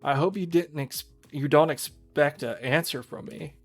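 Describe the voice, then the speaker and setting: A man's voice: on the high side, moderate pace, faint music behind. A man voicing a puzzled, self-deprecating young woman who works in a coffee shop, in a visual novel. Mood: defensive.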